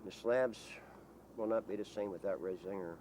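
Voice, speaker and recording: Very deep-voiced, folksy man, noisy recording. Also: a little mellow. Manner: folksy, rambling, halting. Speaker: local resident being interviewed. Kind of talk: casual storytelling to an interviewer.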